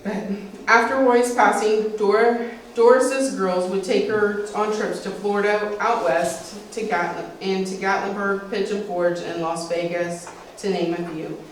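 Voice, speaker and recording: A woman's voice: medium pitch, fairly even intonation, a little slow. A middle-aged woman sharing family memories informally as a speaker at a community talk. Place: small meeting hall, indoors. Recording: roomy, distant mic, faint noise.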